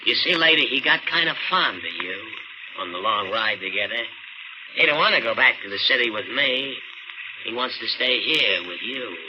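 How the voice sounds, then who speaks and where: An actor playing a gruff, sardonic tough American city cab driver in an old radio thriller. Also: male voice, medium pitch, expressive, normal speed, noisy background, lightly acted. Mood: mocking menace. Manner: gruff, mocking, street-slangy. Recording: dull vintage radio recording with loud music.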